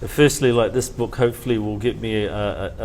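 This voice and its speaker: A man's voice: on the low side, brisk, a little mellow, a little hiss or hum. A middle-aged man with a mixed Fiji and New Zealand accent that can sound South African, talking casually and reflectively as an interview guest.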